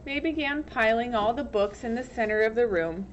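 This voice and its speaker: Female audiobook reader, scripted story narration, higher-pitched, unhurried, noisy recording. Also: muffled recording.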